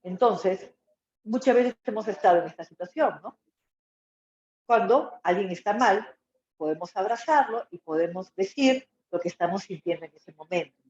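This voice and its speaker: A Peruvian woman teaches in an informal lecture style, with a soft-edged, mellow voice. She explains didactically and keeps checking that listeners follow with brief tag questions. The sound is dull and muffled, with plenty of room echo around her. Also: medium pitch, moderate pace. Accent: Peruvian Spanish. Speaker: psychology lecturer.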